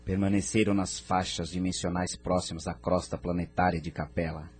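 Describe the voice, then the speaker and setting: A man's voice: low-pitched, level tone, moderate pace, slight background noise, lightly acted. A man playing a wise, courteous spirit mentor in an audio drama, explaining things formally and didactically. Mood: calm, didactic.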